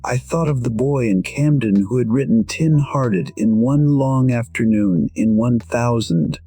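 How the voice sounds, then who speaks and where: A man voicing a weary, proud musician in his sixties, narrating an audio drama in a measured, reflective way. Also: mid-range voice, warm tone, close to an everyday voice. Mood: quiet regret. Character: weary, proud 62-year-old male musician, quietly regretful. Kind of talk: first-person scripted narration, audio drama.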